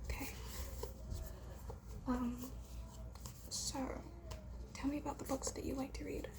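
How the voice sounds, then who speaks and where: A woman playing a shy, bookish girl in an ASMR roleplay, soft-spoken and hesitant in a breathy whisper. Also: high-pitched, flat delivery, unhurried, rough recording. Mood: gently engaged. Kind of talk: whispered ASMR roleplay, improvised casual chat.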